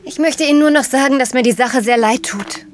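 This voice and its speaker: Female actor as level-headed journalist sidekick for crime audio drama; assured, direct, slightly high register. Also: brisk, a little hiss or hum, close to an everyday voice. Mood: composed. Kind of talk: radio play dialogue.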